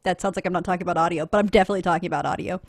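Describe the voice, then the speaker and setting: A woman's voice: on the low side, fairly even intonation, very fast, no room sound, clean studio sound. A woman podcaster explaining her process in a casual, chatty panel style with a wry, self-deprecating manner.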